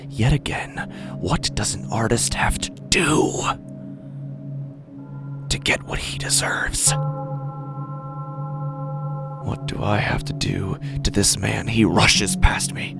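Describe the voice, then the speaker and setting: A man playing a menacing, self-pitying street busker in a horror audio drama monologue, brooding and halting, his breathy voice often sinking toward a whisper. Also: fairly low pitch. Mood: insistent, menacing. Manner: slow, halting, brooding delivery with drawn-out pauses. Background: music under the voice.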